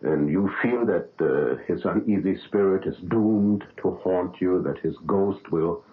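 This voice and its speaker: An actor playing an older male doctor who speaks in a calm, fatherly, reasoning way in a 1970s radio drama. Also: low voice, a little slow. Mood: soothing. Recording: narrow old radio broadcast.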